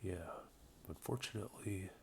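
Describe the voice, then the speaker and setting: A man with a voice slightly off from a cold, casually commentating a strategy game replay, relaxed and rambling with many ums. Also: on the low side, moderate pace, gravelly. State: getting over a cold. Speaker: game caster. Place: home room with a buzzing light.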